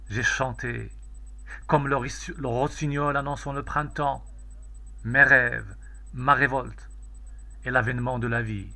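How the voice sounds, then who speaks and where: A man gives a solemn poetry recitation in a bright, forceful voice of medium pitch. His delivery is declamatory and unhurried, each phrase set apart by a pause. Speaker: poetry reader.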